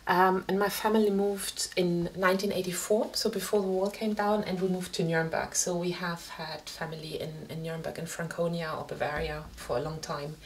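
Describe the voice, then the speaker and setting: A woman's voice: fairly low pitch, fairly even intonation, fairly quick, few pauses, slight background noise, poor audio. A woman in her forties from East Germany with a German accent, explaining her background plainly in a documentary interview at home. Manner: plain, matter-of-fact explaining. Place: room at home.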